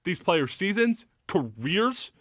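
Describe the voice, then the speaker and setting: A man's voice: high-pitched, sing-song, slow, fairly bright tone, dry recording. A man hosting a sports talk radio show, speaking into a studio mic with a polished, emphatic delivery. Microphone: close studio microphone.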